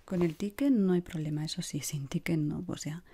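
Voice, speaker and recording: A woman in her thirties with an accent from Spain, whispering softly and soothingly in an ASMR shop assistant roleplay, close to the mic. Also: fairly low pitch, normal speed, breathy edge. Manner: soft, soothing whispering and murmuring. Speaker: ASMR creator playing a shoe shop assistant. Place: small indoor room.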